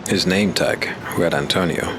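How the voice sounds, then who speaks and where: A man narrating a dark fantasy audiobook in a steady, measured way. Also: sits low, background noise.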